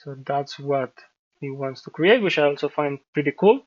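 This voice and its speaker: A man from Colombia whose first language is Spanish, hosting a software tutorial and talking through a screen-share at a measured pace with frequent fillers. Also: on the high side, no echo, lo-fi sound. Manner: thinking aloud, measured, many fillers. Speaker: host reviewing software scripts.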